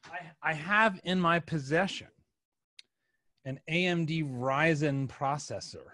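A man's voice: a little high, takes it slowly, bright, forceful tone, no room sound. A middle-aged man hosting a podcast in casual conversation, speaking into a close microphone. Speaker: podcast host. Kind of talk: casual chat. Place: small room with sofa, indoors. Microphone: desk microphone close up, headset.

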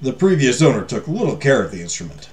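A man's voice: medium pitch, sing-song, fast talker, natural voice. A man puts on a well-traveled storybook merchant peddling treasures. He is ingratiating and flowery, his mid-range voice swooping like a salesman's over music. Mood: confident, selling. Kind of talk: storybook character reading.

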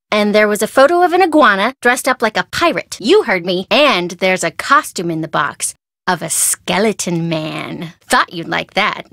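A woman playing a perky, chatty and nervous young American sidekick in a video game, expressive and wry in a casual conversational way. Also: medium pitch, dry recording, studio quality.

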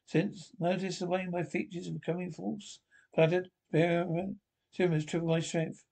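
A man acts out a troubled young man in a radio horror play, a test subject who stays resolute through horror. The voice is mellow and gravelly, and the lines come out haltingly. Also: sits high, flat delivery. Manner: halting, stumbling delivery. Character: troubled young man, son turned experiment subject, horrified yet resolute. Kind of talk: amateur reading of 1940s radio horror script.

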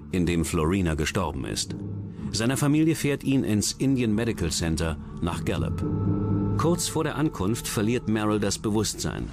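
Deep-voiced male documentary narrator over music. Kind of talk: scripted true-crime narration. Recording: music under the voice.

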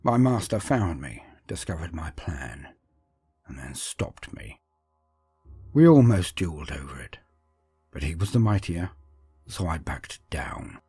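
A man voicing a brooding male sorcerer speaks in a very low, hushed voice over music. He is defiant and deliberate, telling his own dark fantasy story. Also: sing-song, slightly rough, no room sound, full character voice. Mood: brooding resolve. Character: brooding, defiant village sorcerer, once an apprentice.

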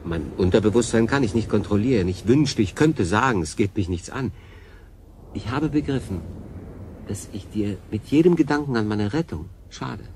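A man's voice: on the low side, lively intonation, moderate pace, darkish tone. An actor playing a stranded, thoughtful and conflicted man in a radio drama, speaking earnestly and reflectively. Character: stranded human castaway, male, thoughtful and conflicted. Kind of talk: German radio drama acting. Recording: clear background noise.

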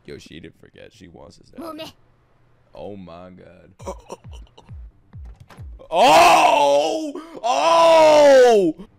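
A young man giving casual, excitable commentary as he reacts to an anime, swearing freely and breaking into shouts and laughter. He talks into a boom-mounted desk mic at home. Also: extremely slow, vocal fry, long pauses, soft background music. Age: young adult. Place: home streaming room. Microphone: boom-mounted desk mic, wearing headphones.

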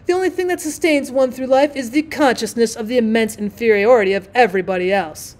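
A woman's voice: on the high side, quick pace, darkish tone, close to an everyday voice. A woman voicing a vain, self-obsessed male firework rocket in a storybook reading, with haughty, indignant, self-important delivery. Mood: indignant, scornful.

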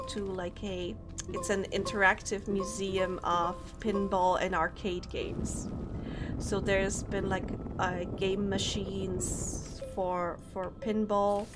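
A young woman with a Polish accent, a Polish native speaker chatting bubbly on a gaming live stream and greeting viewers. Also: medium pitch. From Poland. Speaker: VTuber streamer. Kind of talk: casual live-stream chat while gaming.

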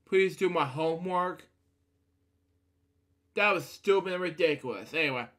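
Man, higher-pitched voice, bright and forceful, halting storybook reading, frequent asides. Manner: halting, often breaks off to comment. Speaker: storybook reader. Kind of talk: read-aloud bedtime story with asides. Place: indoor room at a computer.

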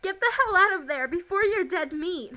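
A woman's voice: very high voice, expressive, moderate pace, pushed and bright, faint music behind, full character voice. A woman voice acting a tense, commanding young spaceship survivor in an amateur game roleplay.

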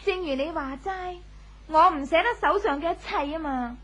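An actress playing a proud, cutting young wife in a 1970s radio drama, cool and scornful. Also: female voice, extremely high pitch, normal speed, a bit bright. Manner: haughty, cutting. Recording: old 1970s radio broadcast.

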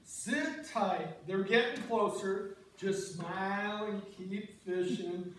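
A man acts a jolly, boastful fisherman who loves beer and big-fish tales. The lines come slowly in a stage reading, picked up from far off in a very echoey hall. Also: sits high, fairly bright tone. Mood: jolly, bluffing. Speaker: amateur reader's theater performer. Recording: echoey church hall from far off, faint noise.

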